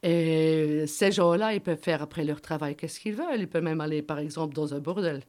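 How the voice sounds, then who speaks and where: A woman's voice: deep voice, brisk, darkish tone. A woman whose first language is German, speaking French as a guest and prisoner's wife, often searching for words and repeating herself. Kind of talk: earnest testimony.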